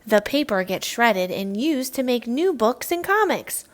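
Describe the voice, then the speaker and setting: A woman's voice: a little high, very animated, measured pace. A woman voicing a patient, enthusiastic male schoolteacher in a children's storybook, explaining things emphatically. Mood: emphatic.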